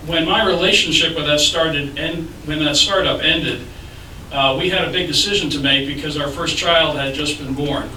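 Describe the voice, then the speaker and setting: A man giving a measured, businesslike opening statement as a candidate at a public debate, with frequent filler sounds. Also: medium pitch. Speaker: city commission candidate. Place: public library meeting room. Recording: echoey room, distant mic, noisy.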